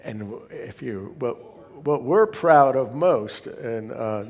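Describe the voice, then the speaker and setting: A man's voice: mid-range voice, lively intonation, takes it slowly. Man giving an echoing, informal club talk. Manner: conversational, rambling with asides. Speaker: club member giving a presentation. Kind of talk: informal slide talk to hobby club members. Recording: long hall reverb.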